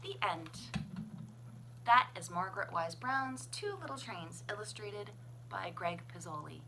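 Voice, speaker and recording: A woman reading a children's picture book aloud as a librarian, with expressive, sing-song, deliberate phrasing. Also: mid-range voice, slight background noise. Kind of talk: children's storybook read-aloud.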